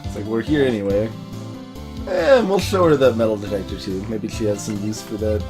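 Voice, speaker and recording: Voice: mid-range voice, brisk. A let's play host giving casual, offhand video game commentary and reading dialogue aloud. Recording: game music behind the voice.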